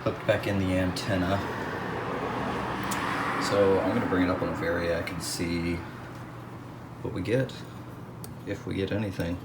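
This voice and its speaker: Low-voiced man thinking aloud, noisy recording. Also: steady tone, unhurried, long pauses. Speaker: radio restoration hobbyist. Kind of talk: casual hands-on repair commentary. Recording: clear background noise.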